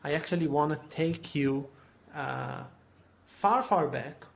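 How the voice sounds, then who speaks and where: A man's voice: fairly high pitch, slow, vocal fry, slight background noise. A man hosting an interview podcast, asking eager, leading questions and often restarting his sentences. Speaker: podcast host.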